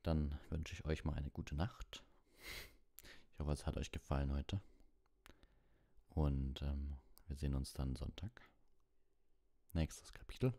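A man with a low, dark, relaxed voice reads in the style of a bedtime audiobook livestream. The sound is very dry and close. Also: slow pace, pauses often, no echo. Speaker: audiobook reader and livestream host. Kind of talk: bedtime audiobook narration and soft sign-off.

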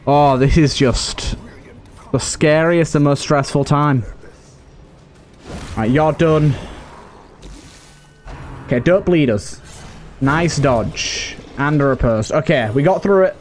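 A man's voice: on the high side, slow, pauses often, faint music behind. A man giving casual let's play commentary, pleading with and exclaiming at the game characters as he talks. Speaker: gaming video host and player.

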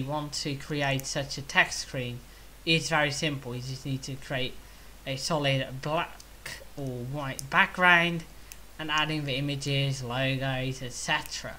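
A young man giving casual, unscripted video commentary in a halting way, thinking aloud. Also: on the high side, pushed and bright. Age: young adult. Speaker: video creator commenting on viewer feedback. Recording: hissy home recording.

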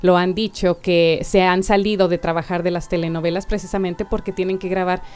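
A woman's voice: lowish voice, steady tone, a little fast, a little mellow, smooth. A woman from Mexico with a Mexican accent, talking earnestly as a guest on a radio panel. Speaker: guest actress. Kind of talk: panel discussion. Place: radio studio.

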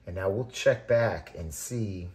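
Low, mellow-voiced man explaining calmly. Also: slow. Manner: relaxed, conversational, talks while working. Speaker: vermicomposting channel host. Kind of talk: hands-on tutorial narration.

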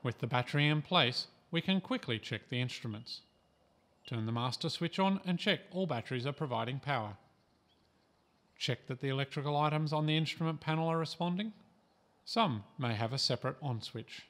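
A man from Australia reading a scripted training voice-over in a calm, measured, instructive way. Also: a little high. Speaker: instructional narrator.

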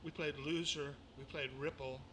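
A man's voice: fairly high pitch, steady tone, moderate pace, a bit bright, slight background noise, poor audio. An older man from the American South, a musician chatting casually between songs at a small venue and talking into a stand mic, rambling along with lots of fillers and restarts. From Mississippi, raised in Louisiana. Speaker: musician performing a livestream. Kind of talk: casual between-song chat. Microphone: vocal mic on a stand.

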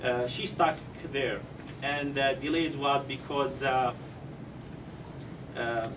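Middle-aged man, halting press statement; distant mic with steady background noise. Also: medium pitch. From Syria. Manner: halting, with many ums and non-native grammar. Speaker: parent speaking at a press conference. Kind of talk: prepared press statement, then answering questions. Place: conference room, seated at a table. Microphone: table microphones, heard through a far camera.

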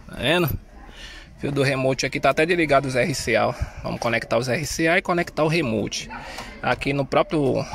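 A man's voice: a little high, a bit bright. A man with a Brazilian accent, chatting slangily and thinking aloud as he installs a car sound system, filmed on a phone inside the car. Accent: Brazilian Portuguese. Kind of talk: casual hands-on DIY narration. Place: inside a car, working on its wiring.

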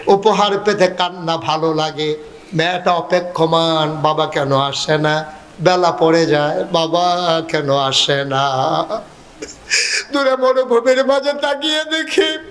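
A man with a Bangladeshi accent preaching an impassioned Islamic sermon, telling a religious story in an emotive, half-chanted way with drawn-out phrases. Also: extremely high pitch, a bit bright.

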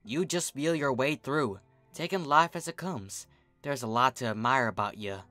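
A man's voice: medium pitch, lively intonation, moderate pace, pushed and bright, no room sound, faint music behind. A man voicing a humble, kindly female farm pony. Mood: fond, wry. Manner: folksy, soothing, plain-spoken.

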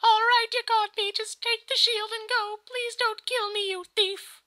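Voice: very high voice, flat delivery, measured pace, pushed and bright, full character voice. An amateur performer voicing a nervous, evasive old grandmother, hesitant and fretful, in a video game parody.

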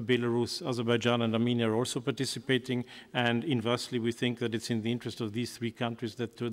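A man in his sixties giving a careful, measured answer as a panelist at a desk microphone in a large conference hall. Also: mid-range voice. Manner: measured, careful, with frequent pauses and fillers. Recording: long hall reverb.

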